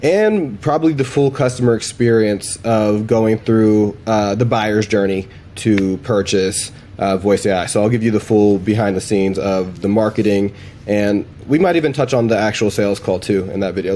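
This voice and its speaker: A man's voice: lowish voice, moderate pace, dark tone, slight background noise. A man hosting a demo video in a casual, laid-back, informal way, like a chatty tutorial. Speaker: video host demonstrating AI voice agents.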